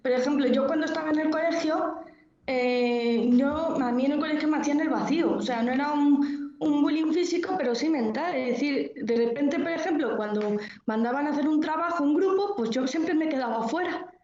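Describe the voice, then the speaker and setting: High-voiced young Spanish woman, echoey room. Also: normal speed, a little mellow. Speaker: event participant giving a personal testimony. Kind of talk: personal testimony. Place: echoey room on a video call. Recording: echoey room, dull lo-fi online audio.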